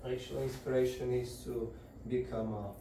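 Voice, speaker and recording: A man gives a devotional lecture in a very echoey room, his voice heard from well away from the microphone. His tone is flat and even, with a soft, dark timbre. He speaks haltingly, often restarting as he searches for words. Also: mid-range voice, recorded from a distance, slight background noise. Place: indoor gathering room.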